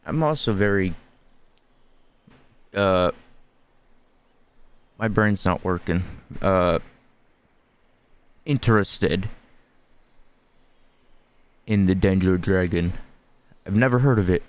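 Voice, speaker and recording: Low-voiced man casually commentating a game. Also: takes it slowly, long pauses. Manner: hesitant, thinking aloud. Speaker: video game streamer. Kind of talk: casual gameplay commentary and reading along. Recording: faint game music behind.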